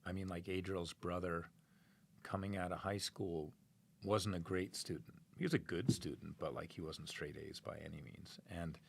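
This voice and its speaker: Middle-aged man, very deep voice, gravelly, rambling, lots of fillers, interview answers. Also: moderate pace. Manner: rambling, many fillers and restarts. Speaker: parent interviewee.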